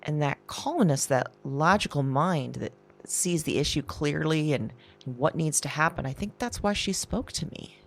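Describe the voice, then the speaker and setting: A woman playing an anxious, shaken woman recording an audio diary, halting and breathy, repeating herself and dropping into whispers. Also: low-pitched, lively intonation. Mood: searching, unsettled. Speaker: audio drama performer. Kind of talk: intimate confessional audio diary acting.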